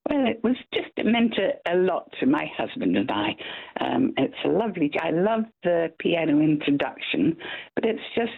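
Older Scottish woman caller, gravelly and husky, tinny phone line, effusive. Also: deep voice, sing-song, moderate pace, no echo. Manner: chatty, effusive, laughs easily.

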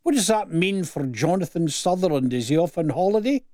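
A man's voice: sits high, lively intonation, a little fast, warm tone, no room sound, clean studio sound. A Scottish man co-hosting a radio show in a teasing, jokey way. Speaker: radio co-host. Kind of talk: casual radio chat.

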